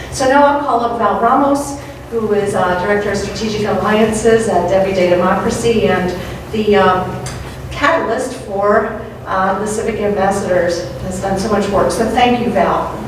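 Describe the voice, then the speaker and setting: A woman opening a civic summit with an earnest welcoming speech, repeating key words for emphasis. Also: medium pitch. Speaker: event organizer opening a summit. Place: large library event room. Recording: very echoey hall, distant mic, faint hiss.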